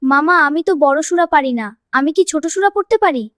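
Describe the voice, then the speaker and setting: Woman voicing an earnest little boy, very high-pitched. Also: animated, normal speed, a bit bright, no room sound, studio quality, soft background music. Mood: earnest, devout. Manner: innocent, questioning, childlike. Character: earnest, eager, devout little boy keeping his first fast. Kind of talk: children's Islamic cartoon dialogue.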